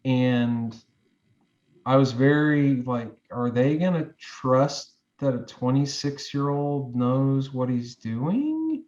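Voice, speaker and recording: A man's voice: medium pitch, animated, slow pace, darkish tone, lo-fi sound. A man in his forties, a guest giving advice in a reflective interview, talking in loose, rambling sentences with many fillers and restarts. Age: 40s.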